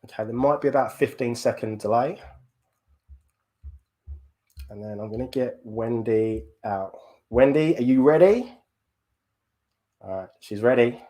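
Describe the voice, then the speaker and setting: A man's voice: medium pitch, normal speed, dark tone. An upbeat Englishman hosting a live podcast over a video call, enthusiastic and fond of saying "absolutely". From England. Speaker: podcast host. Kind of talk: casual live-stream chat.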